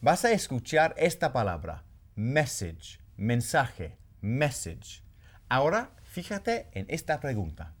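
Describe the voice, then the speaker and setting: Female lesson host, very deep voice, lively intonation, clear deliberate delivery. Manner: clear, deliberate model pronunciation. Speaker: language teacher host. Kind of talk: bilingual English lesson presenting.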